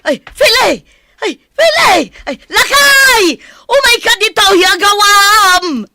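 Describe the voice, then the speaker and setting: A woman playing a caring, excitable elderly mother in an Ilocano radio drama, with an Ilocano accent and motherly, exclamatory delivery. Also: very high voice, takes it slowly, very noisy, full character voice. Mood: panicked.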